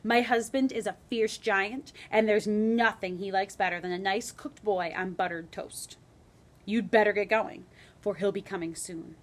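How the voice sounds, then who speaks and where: A woman's voice: medium pitch, fairly even intonation, normal speed, natural voice. A woman reading a storybook, voicing a huge, blunt giantess who gives menacing warnings. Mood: gruff warning. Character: great big tall giant's wife, gruff and warning. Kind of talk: read-aloud storybook character voice.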